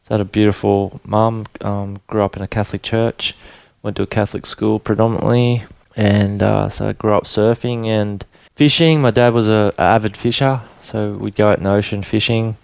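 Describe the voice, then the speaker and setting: A relaxed Australian man in middle age, his low voice staying level and smooth as he rambles through his story. He circles back and restarts often, and the sound is dry and a little dull. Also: moderate pace, no echo. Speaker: interview guest. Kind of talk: casual storytelling interview.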